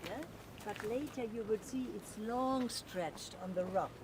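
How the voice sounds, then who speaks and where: A woman's voice: on the high side, a little slow. A woman with a German accent working as a tour guide, explaining things in an instructive, emphatic storytelling way with frequent checking tags. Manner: instructive, emphatic, often adds "yeah?". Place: outdoors at a castle. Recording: loud outdoor background noise.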